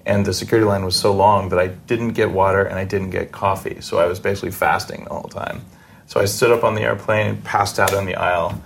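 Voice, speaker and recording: A man's voice: low voice, steady tone, fast, vocal fry, slight background noise. A man hosting a podcast interview in a casual, informal conversational way.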